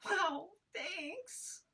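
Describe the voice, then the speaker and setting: A woman's voice: very high-pitched, expressive, extremely slow, sharp tone, pitched above the performer's own voice, exaggerated voice. A woman voicing a whiny, sarcastic immortal trainee in a comic sci-fi audio drama, rambling and protesting. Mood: panicked protest. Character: Napoleon, exasperated, whiny immortal trainee adventurer.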